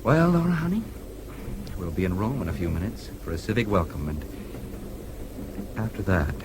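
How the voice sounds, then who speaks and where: A man's voice: low-pitched, sing-song, moderate pace. An actor playing a jaunty, affectionate newspaperman in a radio drama. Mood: jaunty, fond. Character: breezy, affectionate North American newspaperman. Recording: radio drama with clear vehicle-like background noise.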